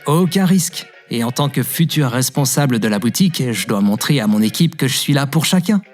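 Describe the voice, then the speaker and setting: A kind-hearted young shop worker, played by a male actor, talks in an everyday, medium-pitched voice. It is audio drama acting with soft music underneath. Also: animated, brisk, close to an everyday voice. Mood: reassuring.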